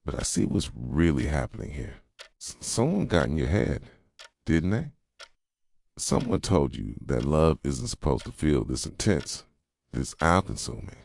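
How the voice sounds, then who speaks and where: A man playing an obsessive, hurt, manipulative boyfriend in an intimate ASMR roleplay, speaking softly and insistently close to the microphone. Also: dry recording, heavily acted. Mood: insistent, possessive. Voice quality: soft, low, breathy at times. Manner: intimate, insistent, pleading and accusing. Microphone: close microphone, dry sound.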